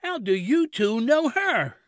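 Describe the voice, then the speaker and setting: Curious sore neighbour, slow, highish female voice, expressive, comedy audio drama. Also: no echo, clean studio sound. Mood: dazed, impressed.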